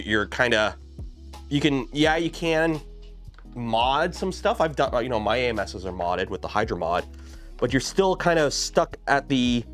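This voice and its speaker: A man's voice: medium pitch, a bit bright. A man hosting a casual livestream, chatting in a rambling way with many fillers and restarts while losing his voice. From Canada. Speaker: livestream host building a 3D printer kit.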